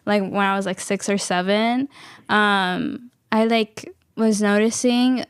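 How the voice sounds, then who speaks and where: A woman's voice: medium pitch, fairly even intonation, slow, clear, resonant tone, no room sound, clean studio sound. A young American woman chatting casually on a youth radio show, with a lot of "like" and fillers. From United States. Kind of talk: casual conversation.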